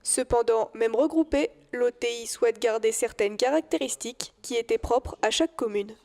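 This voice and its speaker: A woman's voice: on the high side, moderate pace, darkish tone. A woman reading scripted news narration as a reporter.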